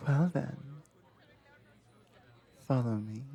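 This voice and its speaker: A woman voicing a confident, seductive woman in an intimate ASMR roleplay, slow, teasing and softly commanding, hushed and breathy at times. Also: very deep voice, highs cut off, pitched below the performer's own voice, heavily acted.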